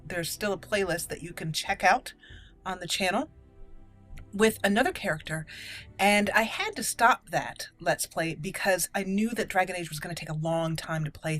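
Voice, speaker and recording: A woman's voice: mid-range voice, lively intonation, moderate pace, a bit bright, faint music behind. A woman giving casual let's play commentary as a channel host, chatty and stretching key words for emphasis.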